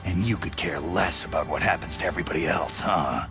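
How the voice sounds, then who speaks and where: An actor playing a gruff, hardened soldier hero in a video game, terse, intense and defiant. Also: male voice, low-pitched, brisk, sharp tone, faint music behind. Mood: angry. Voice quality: gravelly, gruff. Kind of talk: dramatic video game cutscene acting.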